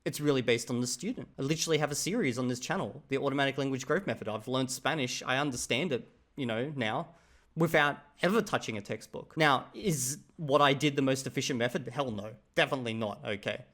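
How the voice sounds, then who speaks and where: An Australian man hosting his channel, giving relaxed, opinionated commentary on another creator's video. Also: medium pitch, quick pace. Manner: relaxed, opinionated, chatty asides. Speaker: channel host reviewing a video. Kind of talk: casual commentary.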